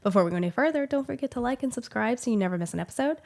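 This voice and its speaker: A woman's voice: a little high, animated, very fast, gravelly, a little mellow. Woman, 30s, slight cold in the voice; casual, effusive book-vlog host. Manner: chatty and gushing, many "like" fillers. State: small head cold, voice a little off. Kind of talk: casual book review chat.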